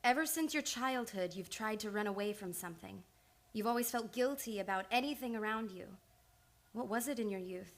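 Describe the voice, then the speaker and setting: A woman's voice: mid-range voice, fairly quick, lightly acted. A woman playing an earnest, insistent psychoanalyst in old time radio drama, urgent and probing.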